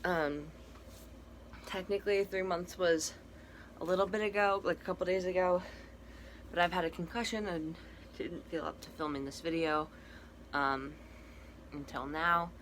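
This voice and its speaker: A young man with a cracky, breaking voice, giving a casual personal update in a halting, soft-spoken way. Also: high-pitched, steady tone. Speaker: vlogger. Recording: noticeable background noise.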